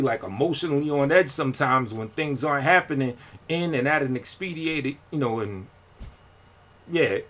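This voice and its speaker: A man chats loosely on a live video, wandering between thoughts in heavy slang. He stops, restarts and fills gaps with fillers as he talks. His voice sits at an ordinary middle pitch. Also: a bit bright. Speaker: independent rapper and vlogger. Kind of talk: casual live-stream talk.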